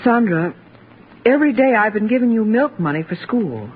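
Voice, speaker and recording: A genteel strict mom in an old radio play, expressive voice, tinny hissy recording. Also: female voice, fairly high pitch, moderate pace, slightly rough. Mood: firm, reproving. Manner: genteel, earnest, reproving. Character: strained, strict stepmother and anxious wife. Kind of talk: 1940s radio acting. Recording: scratchy, hissy, narrow 1940s radio recording.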